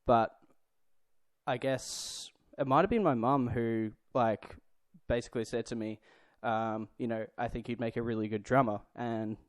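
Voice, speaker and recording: Smooth, dry-sounding young Australian man. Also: mid-range voice, dry recording. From Melbourne, Australia. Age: 20s. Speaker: drummer, podcast guest. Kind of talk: casual chat.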